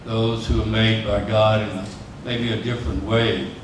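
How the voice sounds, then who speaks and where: This is a man on an interfaith panel with a low, flat voice and an earnest, steady delivery. He is recorded from a distance in a reverberant hall with clear noise. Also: normal speed. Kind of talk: unscripted panel discussion. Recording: echoey hall from far off, noisy.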